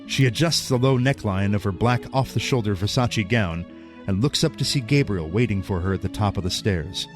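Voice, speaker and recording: A man serves as narrator of a scripted audio drama, telling the action in prose. His voice sits at a medium pitch, with faint music behind it.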